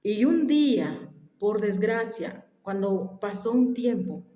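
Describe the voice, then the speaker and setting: A woman telling a family story in a casual, unhurried way, halting now and then and dropping into soft whispered asides. She mentions she has allergies. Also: medium pitch, lively intonation. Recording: echoey room.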